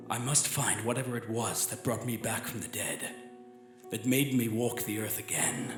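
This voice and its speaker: A man playing a grim, haunted corpse risen from the grave, speaking a brooding, halting first-person monologue in old-time radio horror style. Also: medium pitch. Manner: slow, halting, brooding delivery with sudden swells. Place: theatre stage before a live audience. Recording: echoey live stage recording with music. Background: plucked guitar score.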